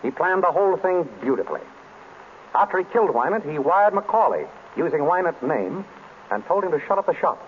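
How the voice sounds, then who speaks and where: Suave, lively detective on tinny old radio. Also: male voice, on the high side, fairly quick. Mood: measured, accusing. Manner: breezy, urbane, in command. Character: suave, wry male detective hosting a dinner of suspects. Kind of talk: old-time radio drama acting. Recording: noisy, tinny vintage radio broadcast.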